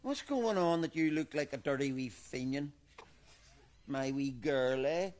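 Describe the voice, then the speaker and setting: An actor with a Northern Irish accent, from Belfast, playing a paranoid, bigoted older grandfather who talks in a deadpan, matter-of-fact way. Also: medium pitch, measured pace, close to an everyday voice. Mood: wheedling, menacing. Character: paranoid bigoted old grandfather, defensive and unhinged. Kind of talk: comedy sketch acting.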